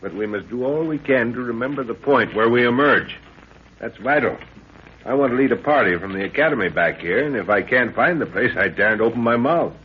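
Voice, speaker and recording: Actor as commanding expedition professor, lively inflection. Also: male voice, mid-range voice, close to an everyday voice. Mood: measured, practical. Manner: brisk orders, dry remarks, muttered asides. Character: commanding, practical professor father leading an expedition. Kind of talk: 1930s radio adventure serial acting. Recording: muffled, hissy 1930s radio recording.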